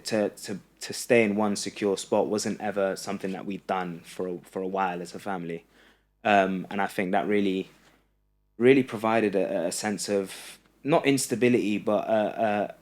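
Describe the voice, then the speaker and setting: A young man from Egypt, a podcast guest, talking earnestly in a halting way with many fillers and restarts. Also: sits low. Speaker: podcast guest, running content creator. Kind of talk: casual interview chat.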